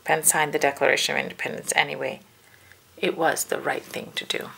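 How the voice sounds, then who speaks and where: A woman reading a children's storybook aloud, with careful, deliberate phrasing for young listeners. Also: low voice, flat delivery, creaky, fairly bright tone, slight background noise. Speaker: children's book reader. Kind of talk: read-aloud storybook narration.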